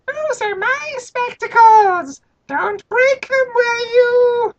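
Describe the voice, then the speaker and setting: A woman voicing a kindly but sly little male gnome in a storybook reading, squeaky, slow and sing-song. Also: dull audio, a little hiss or hum, exaggerated voice. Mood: alarmed.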